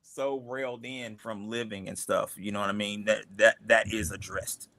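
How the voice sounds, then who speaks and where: A man's voice: fairly low pitch, steady tone, normal speed, bright, forceful tone, dry recording. A man, the creator of a comic, chatting casually with his cast on a livestream, rambling with lots of fillers and restarts. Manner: rambling, many fillers and restarts, laughs often. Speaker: creator of the comic, the cast's boss.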